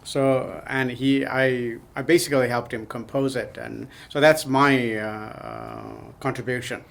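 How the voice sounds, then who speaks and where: Guy answering questions, lots of um and uh, pretty normal pitch. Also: a little slow, gravelly, a bit bright. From Nepal. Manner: hesitant, many ums and uhs. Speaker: podcast guest. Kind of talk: casual interview.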